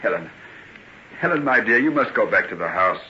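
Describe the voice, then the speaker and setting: Low, intense gentleman doctor. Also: very animated, brisk, exaggerated voice. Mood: urgent concern. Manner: earnest, polite, soothing but urgent. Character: concerned, courteous gentleman doctor, earnest and steadying. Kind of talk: old-time radio drama acting. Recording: dull, hissy old radio recording.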